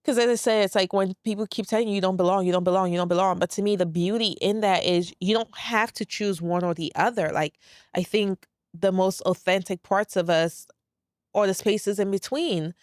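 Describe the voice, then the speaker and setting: A woman's voice: medium pitch, steady tone, fairly quick, no room sound, clean studio sound. A woman in her thirties from Saint Lucia and Brooklyn, speaking with a Brooklyn accent with a light Saint Lucian trace, hosting a casual solo podcast in a candid, laid-back way with plenty of "like" and some swearing. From Saint Lucia, raised in Brooklyn, New York. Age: 30s. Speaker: podcast host.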